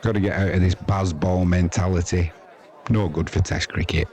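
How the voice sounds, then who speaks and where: A man's voice: sits low, fairly even intonation, moderate pace, warm tone, some chatter behind. A man with an English accent giving casual, rambling, chatty live cricket commentary as the host of a sports stream.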